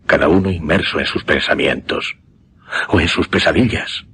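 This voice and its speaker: Deep-voiced, haunted gentleman witness. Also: a little hiss or hum, full character voice. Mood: haunted, solemn. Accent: Spain. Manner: hesitant, grave, courteous, dramatic pauses. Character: shaken gentleman witness recounting a supernatural horror. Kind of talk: radio horror drama acting.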